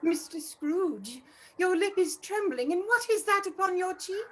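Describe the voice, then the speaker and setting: An actress playing a solemn, commanding Ghost of Christmas Past in a radio play, with a formal, deliberate delivery. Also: female voice, very high-pitched, lively intonation. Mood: stern, probing.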